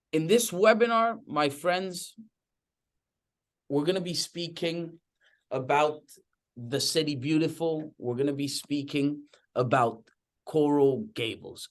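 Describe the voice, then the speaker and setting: A man's voice: fairly high pitch, slow pace, long pauses. A young man from Madrid with a Spanish accent, hosting a real estate webinar enthusiastically and often checking in with "okay?". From Spain (Madrid). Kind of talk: webinar presentation.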